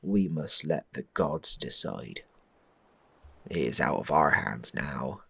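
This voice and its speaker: A man voicing a solemn, cryptic ritual leader in a comic fantasy audio play, slow, grave and pompous, with a low gravelly, breathy voice. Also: lively intonation, fairly bright tone, no room sound, exaggerated voice. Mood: grave, foreboding. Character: solemn, cryptic doom-saying leader of a milk-fearing ritual.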